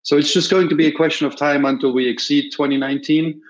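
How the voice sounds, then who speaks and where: A middle-aged man with an Austrian accent, talking through industry questions in a measured, optimistic way, with plenty of ums. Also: fairly high pitch, steady tone. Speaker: podcast host and industry guest. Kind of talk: conversational interview answers. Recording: slightly dull lo-fi audio.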